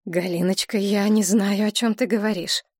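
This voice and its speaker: A woman voicing a frightened, flustered older woman who mumbles and babbles quietly. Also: medium pitch, steady tone, normal speed, breathy edge, no room sound, studio quality, natural voice.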